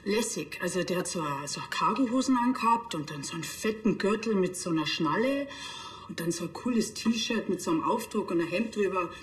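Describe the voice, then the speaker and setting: A woman's voice: lowish voice, animated, a little fast, lightly acted. An actress playing a gossipy young bakery saleswoman with a Bavarian accent, rambling colloquially. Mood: gossipy, indignant. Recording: echoey room with noise.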